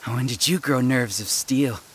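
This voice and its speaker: Blunt, mid-pitched tough soldier played by a man. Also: unhurried, a bit bright, slight background noise, natural voice. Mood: teasing. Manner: blunt, wry. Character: tough, competitive male soldier, keen to spar. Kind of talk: video game character dialogue.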